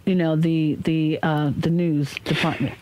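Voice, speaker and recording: A woman's voice: low voice, flat delivery, a little slow, darkish tone, rough recording. Woman hosting a radio talk show. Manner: chatty, affirming with frequent "yes" and "yeah". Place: radio studio.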